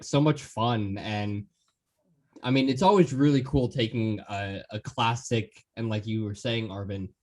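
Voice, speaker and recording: A man's voice: lowish voice, darkish tone. A man hosting a podcast, chatting casually and enthusiastically as he interviews his guests. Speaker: podcast host.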